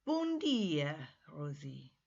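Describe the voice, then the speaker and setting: A woman narrator plays a suave Portuguese man in a storybook reading, courteous, flirtatious and sure of himself. The voice is husky, on a slightly muffled recording. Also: sits low, very animated, drawn-out words, a little mellow, full character voice. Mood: self-assured. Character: charming, confident Portuguese man with polished English.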